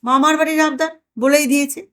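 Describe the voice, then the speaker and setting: Woman playing a blustering, bossy older man, pitched high. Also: steady tone, fast, fairly bright tone. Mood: scolding, confident.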